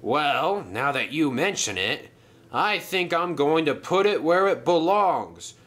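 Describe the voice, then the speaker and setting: A man voicing a gruff, grumpy dock crane with a careless, muttering, dismissive manner in a children's story. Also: a little high, big pitch swings, slow, fairly bright tone, voice pushed up, exaggerated voice. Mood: indignant.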